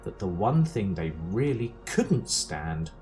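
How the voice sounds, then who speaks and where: An actor playing a dry, wry narrator of a galactic guidebook, reading scripted comedy. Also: male voice, lowish voice, big pitch swings, slow, heavily acted. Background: music.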